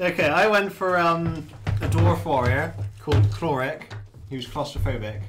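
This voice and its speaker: A man chatting casually and jokingly as a player in a tabletop game. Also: normal speed. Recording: loud thumping music-like rumble behind.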